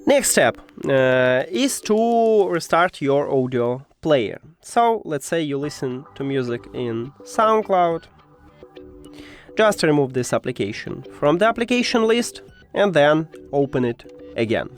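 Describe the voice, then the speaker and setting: A man with non-native English walks through a device tutorial step by step. His voice sits a little high and swings up and down in a lively way, though his phrasing is halting. Soft music plays faintly behind him. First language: not English. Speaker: tech tutorial presenter.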